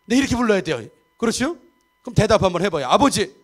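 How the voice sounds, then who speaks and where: A man's voice: high-pitched, big pitch swings, fast. A man in his fifties preaching a Sunday sermon, chatty and joking, often checking for agreement from the congregation. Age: 50s. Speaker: pastor. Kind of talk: sermon with humor and audience asides. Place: church sanctuary.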